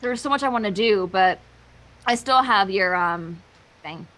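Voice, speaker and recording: A woman's voice: medium pitch, a little slow, a bit bright, low-quality recording. A woman chatting casually and playfully as a guest on a livestream.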